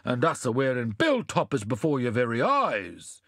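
A man's voice: medium pitch, huge pitch swings, moderate pace, a bit bright, no echo, studio quality, exaggerated voice. A man voicing sly animal pudding thieves who chant in a wheedling, sing-song way.

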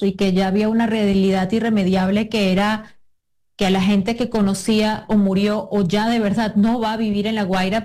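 A woman in her thirties from Venezuela speaks earnestly in an interview, often pausing and restarting her thoughts. Her voice has a mellow, relaxed timbre. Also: mid-range voice. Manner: earnest, with frequent restarts and hesitations. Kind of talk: emotional interview answers.

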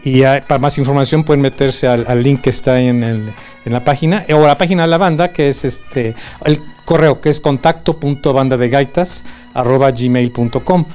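A man's voice: medium pitch, normal speed, darkish tone, smooth, soft background music. A man from Mexico, director of a bagpipe band, explaining patiently as a guest. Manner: patient and informative, with fillers.

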